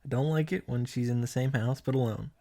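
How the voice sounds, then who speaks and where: A woman giving a casual, expressive recap of a video game, reading out text and reacting with exasperation and swearing. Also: very deep voice, fast.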